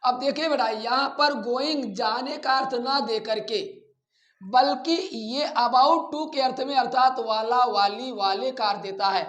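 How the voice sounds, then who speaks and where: A man teaching a grammar lesson, deliberately repeating phrases and addressing his students directly in an echoey, roomy recording. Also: extremely high pitch, a bit bright, dull audio. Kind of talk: classroom-style grammar lesson.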